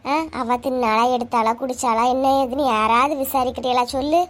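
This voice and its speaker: A woman's voice: fairly high pitch, steady tone, brisk, natural voice. A woman voicing an earnest, insistent village neighbour in a cartoon comedy, with a Kanyakumari Tamil accent. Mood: pleading, indignant. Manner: insistent, explanatory, colloquial.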